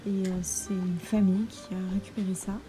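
Woman around thirty, casual travel vlog, effusive and enthusiastic, medium pitch, prominent music behind. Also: flat delivery, moderate pace, rough recording. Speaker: travel vlogger and dog trainer.

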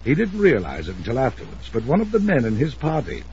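A domineering older gentleman of means in old-time radio drama. His mid-range voice swings widely as he insists and frets, emphatic and deliberate, on a muffled aged recording. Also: medium pitch, wildly swooping pitch, breathy edge, full character voice. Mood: insistent, grave. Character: wealthy older uncle, insistent, commanding, anxious patriarch. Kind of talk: 1950s radio drama acting.

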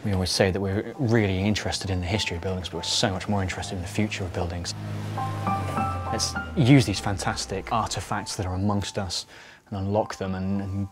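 A man, an architect, speaking in a measured, thoughtful way in a documentary interview. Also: deep voice, steady tone, over loud music. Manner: measured, thoughtful, with occasional fillers.

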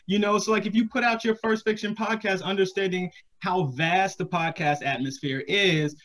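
A man's voice: high-pitched, a bit bright. A man in his thirties talking casually on a panel video call, thoughtful and encouraging as he hedges his advice. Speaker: podcast creator, panel guest. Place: small home room indoors, video call. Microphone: computer video call.

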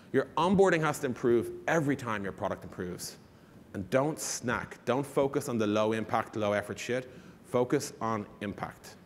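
A man's voice: medium pitch, a little hiss or hum. A man in his thirties giving an informal, emphatic conference presentation from a stage. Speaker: conference speaker. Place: large conference stage, indoors. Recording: echoey hall reverb.

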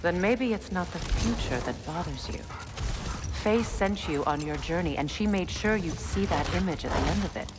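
A woman's voice: on the low side, expressive, normal speed, very noisy, natural voice. An actress playing a wise, blunt warrior queen and sorceress in a video game, with frank, dry, assured delivery. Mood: probing.

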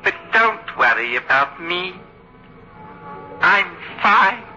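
A man's voice: high voice, big pitch swings, slow pace, bright, forceful tone, full character voice. An actor playing a broken madman who talks like a ventriloquist's dummy, eerily polite, in a radio horror play. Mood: eerily cheerful. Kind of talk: old-time radio horror acting. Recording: tinny, muffled old radio recording.

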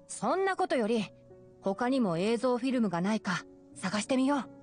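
Composed, boyish young scholar. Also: female voice, a little high, expressive, a little slow. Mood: composed, reflective. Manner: composed, explanatory. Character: calm, knowledgeable young male forest scholar. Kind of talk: anime game voice acting. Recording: game music under the voice. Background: game music.

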